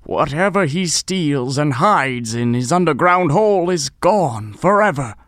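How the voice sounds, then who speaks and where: An actor voicing a big, gentle, polite talking bear in a children's storybook dramatization. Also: mid-range voice, expressive, a little slow, no echo, clean studio sound. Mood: earnest.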